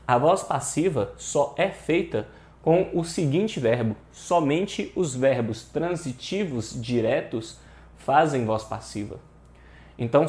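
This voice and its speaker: A man's voice: mid-range voice, a little mellow. Brazilian man teaching in an echoey room. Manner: colloquial, asks rhetorical questions and answers them. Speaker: Portuguese grammar teacher for exam preparation. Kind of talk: informal online lesson, explaining with examples.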